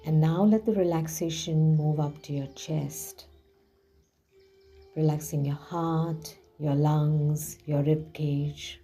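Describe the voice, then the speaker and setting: A woman leading a guided relaxation visualization in a soothing, hushed manner with gently drawn-out phrasing. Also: low-pitched, fairly even intonation. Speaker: guided meditation host. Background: background music.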